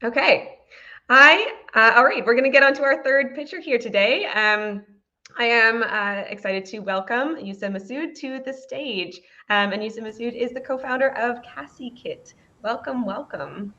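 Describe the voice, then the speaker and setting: A woman hosting a virtual pitch event, upbeat and friendly, with frequent ums, speaking over a video call. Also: lively intonation, normal speed, sharp tone, dull audio. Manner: upbeat, friendly, laughs easily, filler ums. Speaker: event host.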